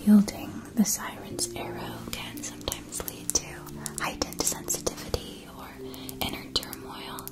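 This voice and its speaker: Woman acting a slow, whispering witchy wand maker. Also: lightly acted. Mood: hushed, reverent. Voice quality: soft breathy whisper. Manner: slow, deliberate, formal and precise. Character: composed, exacting female wand maker in a witch shop. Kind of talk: ASMR roleplay, whispered.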